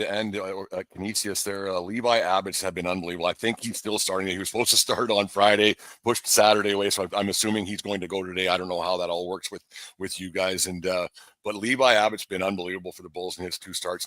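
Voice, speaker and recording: A man hosting a live sports interview show, speaking in a halting, rambling way. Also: low-pitched, a bit bright, dry recording.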